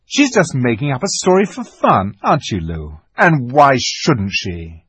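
A man voicing a bossy older brother who teases loudly and jovially. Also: medium pitch, sing-song, measured pace, husky, dry recording, heavily acted. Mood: teasing. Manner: loud, jolly teasing.

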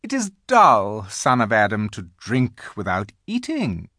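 A man voicing an imperious, menacing witch queen in an audiobook, haughty and commanding, with drawn-out emphatic words and a streak of false sweetness. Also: on the low side, huge pitch swings, bright, forceful tone, no room sound, clean studio sound, full character voice. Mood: falsely sweet. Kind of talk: audiobook character voice.

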